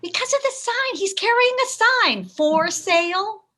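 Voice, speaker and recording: Woman playing excitable gossipy housewife, sharp bright voice that goes all over, play reading on video call. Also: very high voice, a little slow, exaggerated voice. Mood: excited, gossipy. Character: excitable, gossip-hungry suburban housewife on telephone.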